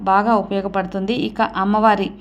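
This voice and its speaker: A woman's voice: mid-range voice, flat delivery, fairly quick, lo-fi sound. Woman giving step-by-step instructions. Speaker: host of a devotional remedy channel. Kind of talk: explanatory talk to viewers, instructional.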